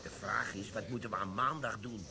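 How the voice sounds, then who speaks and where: Gravelly low-voiced sergeant, cheeky wheeler-dealer, in a radio drama with pub chatter behind. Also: male voice, normal speed. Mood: bantering. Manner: cheeky, flirtatious, conspiratorial. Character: sly scheming middle-aged army sergeant. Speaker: army sergeant Derry. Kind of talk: radio play acting.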